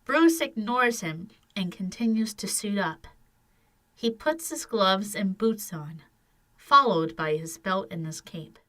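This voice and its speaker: A woman's voice: mid-range voice, lively intonation, takes it slowly, a bit bright. A woman narrating an audio drama from a script as its storyteller.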